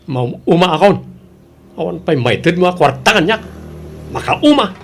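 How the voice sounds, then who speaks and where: Lazy, grumbling boyfriend played by a man, high-pitched. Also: expressive, moderate pace, gravelly, a little hiss or hum. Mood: demanding. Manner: grumbling, sluggish. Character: lazy, whining, freeloading boyfriend. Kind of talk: Filipino audio drama acting.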